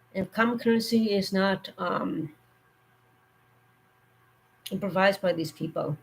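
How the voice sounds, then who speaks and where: A woman with a cold, her voice going hoarse, giving earnest safety warnings in a rambling, casual live stream. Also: lowish voice, low-quality recording.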